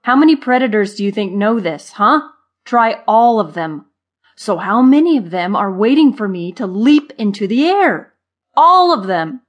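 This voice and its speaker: A woman's voice: deep voice, steady tone, moderate pace, few pauses, mellow, muffled recording, lightly acted. A woman voicing a sour, pessimistic male grasshopper in a children's story, grumbling in a snappy, emphatic way. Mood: exasperated.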